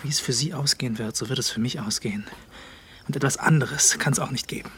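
A man's voice: mid-range voice, quick pace, a bit bright, slightly rough. An actor playing a loyal, dutiful male aide who speaks earnestly and respectfully in a radio drama.

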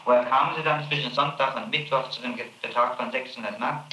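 A man's voice: medium pitch, steady tone, quick pace, echoey room, background noise. A man working as a prosecutor, questioning a witness with probing, insistent questions on an archival tape. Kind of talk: archival interrogation recording.

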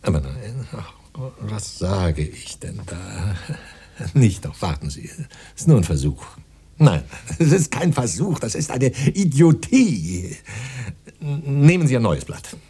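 An actor playing a harried, pompous professional poet in a German radio play, halting and muttering, easily exasperated. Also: male voice, on the low side, sing-song, gravelly, dark tone, breathy edge, full character voice. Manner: theatrical, halting, muttering then flaring up.

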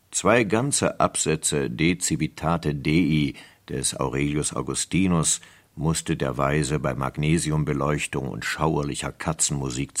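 An actor playing a measured storyteller, delivering scripted narration in a radio drama. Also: male voice, extremely low pitch, heavily acted. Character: measured male storyteller of a mystery tale.

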